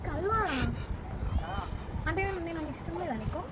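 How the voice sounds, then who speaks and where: A woman's voice: extremely high pitch, normal speed. A young woman playing a lovestruck girl on a prank call, pleading and coaxing insistently as she confesses her love. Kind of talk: prank phone call, acted romantic pleading. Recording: very noisy amateur recording.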